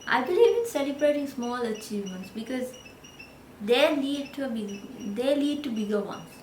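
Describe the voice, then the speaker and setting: A woman in her thirties with a Sri Lankan accent, whose first language is Sinhala, chatting casually to the camera in a gentle, unhurried way. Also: a little high, lively intonation, reverberant, a little hiss or hum. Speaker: vlogger.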